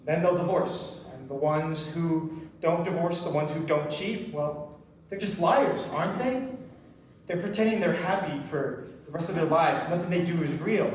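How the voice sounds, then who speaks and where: A man's voice: fairly high pitch, a little mellow. Aloof, dry actor as a cynical man, echoey. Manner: terse, matter-of-fact, dry. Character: aloof, cynical, mysterious unmarried man on a date. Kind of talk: staged play reading, naturalistic dialogue. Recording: distant mic in roomy echo, hissy noise.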